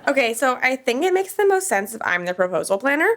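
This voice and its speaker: An actress playing a bossy, excitable young woman who takes charge in a podcast sitcom. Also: high-pitched, fast talker, a little hiss or hum. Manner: bossy, excitable, self-assured. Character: Laura, bossy, eager young woman planner.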